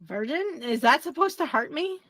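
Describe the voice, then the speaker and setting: Woman, profane defensive rant, high, very dry mic. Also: lively intonation, moderate pace, a bit bright, dry recording. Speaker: streamer being reacted to. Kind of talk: angry livestream rant.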